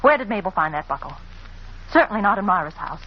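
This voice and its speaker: A woman's voice: mid-range voice, very animated, fast, slightly rough. An actress playing an anxious, determined young woman sleuth in an old radio mystery, with an urgent, earnest delivery. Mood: excited, urgent. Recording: muffled, noisy old radio recording.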